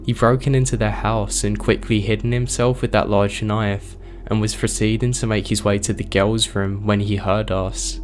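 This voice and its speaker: Horror narrator, male, low and hoarse, strained voice, steady scripted read, faint background hiss. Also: moderate pace, hardly any pauses. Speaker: horror story narrator, channel host.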